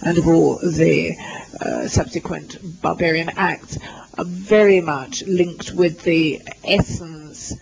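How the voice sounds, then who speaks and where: A man interpreting live at a university lecture, halting often with fillers and restarts as he renders the speakers' words. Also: fairly high pitch, fairly even intonation, gravelly, a little hiss or hum, rough recording. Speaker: simultaneous interpreter. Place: lecture hall.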